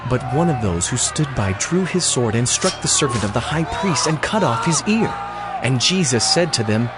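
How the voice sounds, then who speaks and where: A man's voice: mid-range voice, expressive, normal speed, shouting, over loud music, over a noisy crowd. A man narrating a dramatized scripture story from a script.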